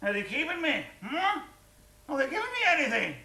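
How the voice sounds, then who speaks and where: An actor playing a drunken, boastful Irish lodger who brags, laughs and shouts in an Irish accent. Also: male voice, a little high, expressive, a little slow, gravelly, husky, full character voice. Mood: drunken bluster.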